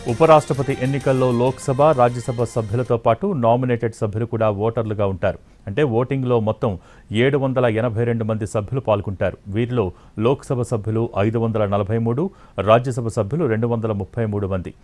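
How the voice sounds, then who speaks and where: A man reading scripted news as an anchor in a formal, measured newsreader delivery. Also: medium pitch, a little mellow. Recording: music bed under the voice. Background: news music.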